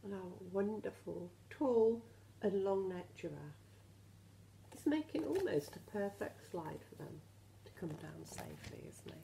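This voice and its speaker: A woman reading a children's story expressively as a teacher, pausing to talk to the children and ask them questions. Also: medium pitch, gravelly, slight background noise, rough recording. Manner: animated, questioning, addresses children directly.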